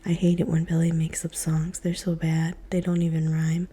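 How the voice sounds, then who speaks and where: A woman reading a children's story aloud in a soft, unvoiced whisper. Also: sits low, completely flat delivery, normal speed, slightly rough.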